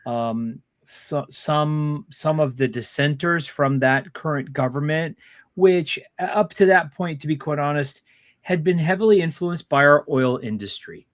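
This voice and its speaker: Rambling man with a slightly high voice. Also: unhurried, dry recording. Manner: rambling explainer, many fillers and restarts. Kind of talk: casual political chat.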